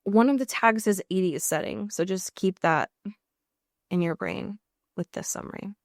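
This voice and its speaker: An American woman co-hosting a podcast in casual, comedic chat, riffing with mock exasperation and drawn-out emphasis. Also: medium pitch, no echo, studio quality. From US Pacific Northwest. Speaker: podcast co-host.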